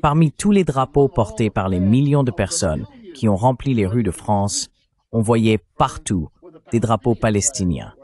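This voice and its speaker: A man's synthetic dubbing voice reading political commentary in a measured, articulate way. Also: on the low side, expressive, darkish tone. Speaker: voice-over translator for a panelist.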